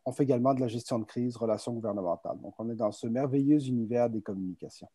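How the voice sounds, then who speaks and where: A man's voice: medium pitch, rapid-fire, mellow, no echo. A man around forty, from Chile and raised in France, with an accent that is half Québécois and half French, speaking as a guest entrepreneur on a video call and repeating words as he thinks. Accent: mixed Québécois and French. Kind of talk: relaxed conversational storytelling. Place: home office on a video call.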